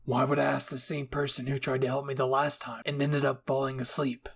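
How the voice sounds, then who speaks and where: A man acts out a stern adult army captain for an audio story. He is grumpy and curt, giving cold, clipped replies in a creaky voice. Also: mid-range voice, flat delivery, brisk.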